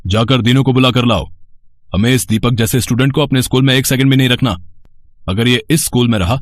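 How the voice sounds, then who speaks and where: A man playing a stern, snobbish school principal in a cartoon moral story, with a husky, scolding and condescending delivery. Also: medium pitch, fairly quick, natural voice. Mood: angry, scornful. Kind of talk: animated moral story dialogue.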